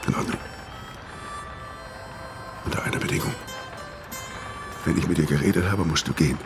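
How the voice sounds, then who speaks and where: An actor playing a weary, aging ex-killer in a radio drama, terse and grave, with a very deep gravelly voice. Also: male voice, full character voice. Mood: terse, insistent. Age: older. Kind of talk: radio drama dialogue and first-person narration.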